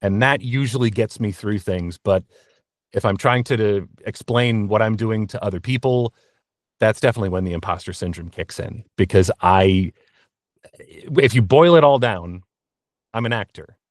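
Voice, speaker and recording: A man with a fairly low voice talks candidly as an interview guest. He is reflective and self-deprecating, often halting as he searches for words. The sound is dry, from a small padded recording booth. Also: dry recording. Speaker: guest voice actor being interviewed.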